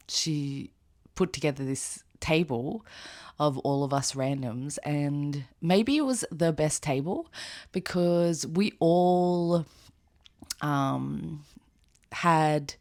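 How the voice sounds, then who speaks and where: A woman with a raspy, hoarse voice, chatting casually in a rambling vlog with fillers and laughs. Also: low-pitched, no echo, studio quality. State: hoarse after an event. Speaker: knitwear designer vlogger. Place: outdoors with birds nearby.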